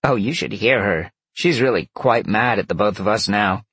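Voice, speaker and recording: A man's voice: fairly low pitch, normal speed, a bit bright, husky, dry recording, pitched above the performer's own voice. A man voicing a sly, flirtatious, irreverent female fox in an audiobook, teasing and grumbling. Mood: matter-of-fact. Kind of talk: audiobook character acting.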